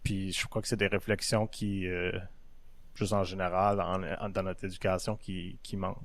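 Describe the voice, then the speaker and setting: A man's voice: deep voice, flat delivery, no echo. A young man hosting a podcast, talking casually with frequent hesitations. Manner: halting, full of hesitations. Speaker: podcast host.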